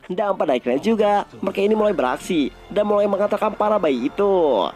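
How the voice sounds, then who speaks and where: High-pitched Indonesian man narrating a film recap. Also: lively intonation, brisk, darkish tone, soft background music.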